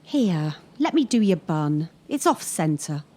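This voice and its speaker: A bossy, knowing young gymnast in a radio drama. She speaks slowly and dryly, with a lot of movement in her pitch. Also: sing-song, warm tone, slight background noise. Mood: conspiratorial.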